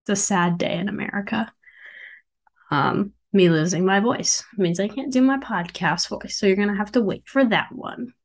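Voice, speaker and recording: A young woman with a hoarse, sick voice hosting her own podcast from a dorm room into a desk mic, rambling and joking at herself with frequent ums. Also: mid-range voice, normal speed. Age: young adult. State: hoarse, has lost her voice from being sick. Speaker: podcast host and founder. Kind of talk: casual solo podcast chat. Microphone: desk mic by her computer.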